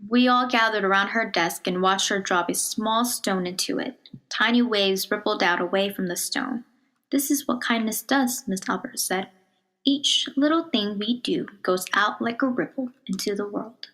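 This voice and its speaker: A woman reads a children's picture book aloud in a measured, expressive storytelling manner, dropping to a hush on some phrases. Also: medium pitch, poor audio. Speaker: storybook reader.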